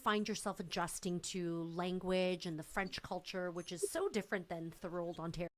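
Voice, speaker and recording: A woman's voice: lowish voice, normal speed. A woman hosting a podcast interview, reacting with enthusiasm and asking casual questions. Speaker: podcast host.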